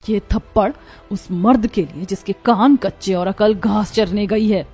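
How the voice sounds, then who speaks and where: A woman's voice: mid-range voice, measured pace, warm tone, breathy edge, soft background music. A woman voicing a wronged, defiant young daughter-in-law in a dramatized family story, earnest and emotional.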